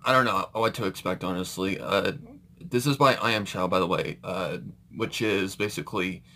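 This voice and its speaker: A man's voice: lowish voice, bright, forceful tone. A man hosting a reaction video, giving casual commentary in an informal, hesitant, rambling way.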